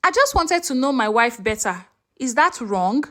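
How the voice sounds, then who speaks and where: A woman's voice: sits high, sing-song, moderate pace, a bit bright. A woman storyteller voicing an anxious married man who speaks carefully and defensively. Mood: uneasy, defensive. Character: anxious married man, polite, pleading with hostile in-laws.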